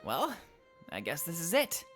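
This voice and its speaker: A woman's voice: sits low, huge pitch swings, unhurried, fairly bright tone, roomy sound, big-room sound, soft background music, full character voice. A woman voicing a small, eager, easily indignant young beast-folk companion in a visual novel game. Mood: hesitant, earnest. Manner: eager, emphatic.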